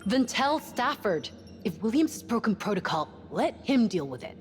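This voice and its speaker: A woman's voice: a little high, sing-song, normal speed, faint music behind. A woman playing a cautious, level-headed ship's doctor in a sci-fi game, speaking in a wary, firm, wry way. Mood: exasperated. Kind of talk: naturalistic dramatic game cutscene acting.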